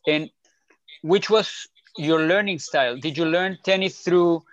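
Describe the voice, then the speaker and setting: A male interviewer with a somewhat high voice and asks his questions haltingly, stumbling and restarting his words. The recording sounds dull, with the top end cut off. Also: a bit bright.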